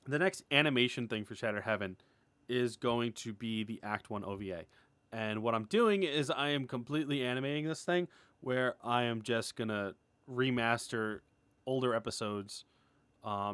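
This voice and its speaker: A man giving a casual, unscripted creator update, rambling and excitable, starting and stopping his phrases as he goes. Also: mid-range voice, clean tone, dry recording. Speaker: animator and web series creator giving an update.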